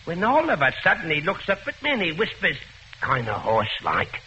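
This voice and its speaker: A jittery publican on the witness stand, his bright, sharp voice leaping up and down as he stammers out sir after sir. It sounds like a dull old radio drama recording with faint hiss underneath. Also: on the high side, wildly swooping pitch, heavily acted. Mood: nervous. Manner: deferential, halting, keeps saying sir. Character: nervous working-class male pub keeper witness. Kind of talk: 1940s radio acting.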